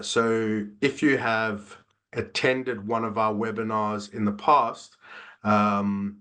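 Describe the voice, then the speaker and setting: Man, South African accent, webinar host, video call, steady delivery, filler words. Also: on the low side. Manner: measured, with frequent "uh" fillers. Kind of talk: corporate webinar presentation.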